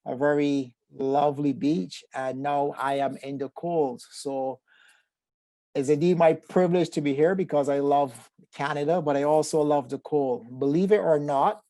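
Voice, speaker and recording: A man with a Barbadian accent, a psychotherapist giving a measured, formal webinar talk on a video call. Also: on the high side, steady tone, dark tone, no echo. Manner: measured, formal phrasing with frequent fillers. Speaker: psychotherapist presenter. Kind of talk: webinar presentation.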